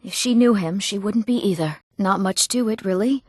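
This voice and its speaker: An eager young village woman from a fantasy game, acted by a woman. She speaks in an earnest, clearly enunciated, slightly theatrical way at a medium pitch, recorded dry and close. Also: normal speed, darkish tone, no echo, close to an everyday voice. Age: young adult. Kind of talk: scripted fantasy video game voice lines.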